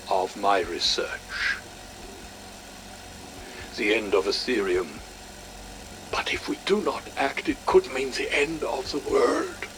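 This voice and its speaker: A haunted male scientist, records a grave personal log for a game. His voice is low and gravelly, and he phrases things in a brooding, weary way. There is clear noise behind him. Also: bright, forceful tone, heavily acted. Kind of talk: dramatic game audio log monologue.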